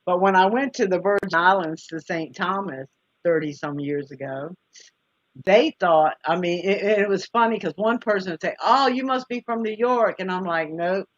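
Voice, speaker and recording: A woman's voice: lowish voice, moderate pace, no echo. A woman from the US East Coast with an in-between Mid-Atlantic American accent, chatting in a friendly way and agreeing often. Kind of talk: casual chat. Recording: dull lo-fi dry recording.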